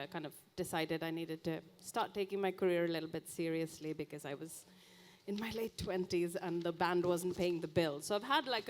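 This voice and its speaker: A woman from India in her thirties with an Indian English accent, speaking wryly and with humor on a conference panel. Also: lowish voice. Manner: wry, humorous, relaxed. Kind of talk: live panel discussion. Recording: faint noise, live event ambience.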